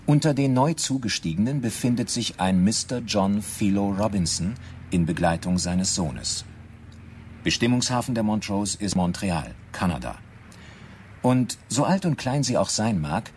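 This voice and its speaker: A man narrating a German radio drama in a measured, matter-of-fact, scripted style. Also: low voice, darkish tone, a little hiss or hum. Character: sober, knowing male documentary-style narrator.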